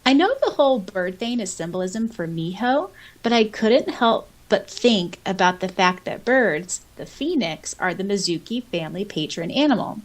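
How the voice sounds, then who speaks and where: A woman's voice: medium pitch, expressive, normal speed, few pauses. A woman co-hosting a podcast, chatting casually and informally about fan topics with a giggly streak. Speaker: podcast co-host. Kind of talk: casual fan discussion, reading listener comments aloud.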